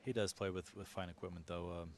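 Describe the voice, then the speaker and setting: Male pool commentator, low voice, analytical shot breakdowns, faint room hum. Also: steady tone, fast talker. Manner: analytical, explains shot choices to viewers. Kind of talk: live sports commentary.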